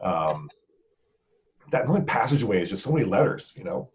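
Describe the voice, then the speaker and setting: A man's voice: fairly low pitch, very animated, fairly quick, a little mellow, muffled recording. A man hosting a podcast in casual, jokey conversation, informal in his phrasing. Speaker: podcast host. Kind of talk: casual chat.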